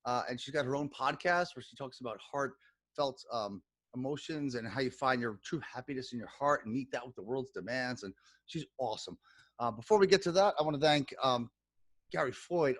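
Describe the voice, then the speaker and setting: A man's voice: mid-range voice, a bit bright, dry recording. A man hosting a podcast, talking enthusiastically in a rambling, casual way with plenty of fillers. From New York. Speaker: podcast host.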